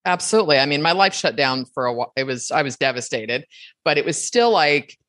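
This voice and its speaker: A middle-aged woman with a notably low voice talks frankly with her business partner, animated and full of restarts. Her voice comes through dry and close to the mic, with no room echo. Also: fast talker. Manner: animated and candid, with many restarts. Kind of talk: casual conversation.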